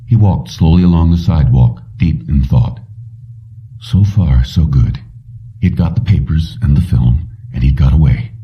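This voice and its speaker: Very deep-voiced male audiobook narrator, muffled sound. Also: moderate pace. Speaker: audiobook reader. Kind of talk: scripted fiction narration. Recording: muffled, dull, distant-sounding.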